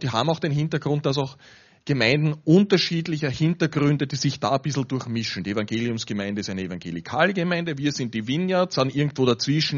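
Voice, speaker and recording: An Austrian man hosting at a church, chatting colloquially as he introduces and interviews a guest. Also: medium pitch. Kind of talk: informal live interview and announcement. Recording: dull, lo-fi sound.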